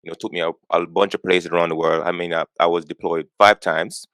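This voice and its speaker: A man in his forties from Jamaica talks quickly in a very low voice. He speaks earnestly and often restarts words as he builds up steam. The sound is close and dry, with no room echo. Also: little pitch movement, fairly bright tone, smooth. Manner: earnest, repeats words as he gets going. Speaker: guest. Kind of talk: conversational interview.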